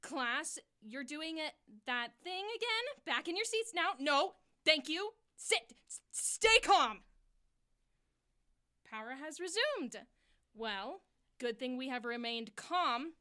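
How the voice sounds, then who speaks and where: An actress playing a brisk, bossy schoolteacher who is flustered and trying to sound calm, in an audio drama. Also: female voice, high voice, full character voice. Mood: flustered, forced calm. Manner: brisk, bossy, falsely reassuring. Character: flustered schoolteacher forcing calm on her class.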